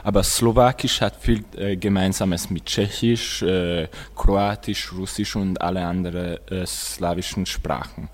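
A man's voice: low-pitched, steady tone, unhurried, hardly any pauses. A teenage boy, a school student, explaining languages in conversation with a classmate on a radio show. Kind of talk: presenting language comparisons with a classmate.

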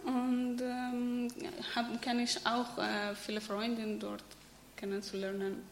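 Woman talking slow and halting, a bit high, echoey with faint hiss. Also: monotone. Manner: slow, halting learner's speech. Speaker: interviewee, footballer. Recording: echoey room, faint noise.

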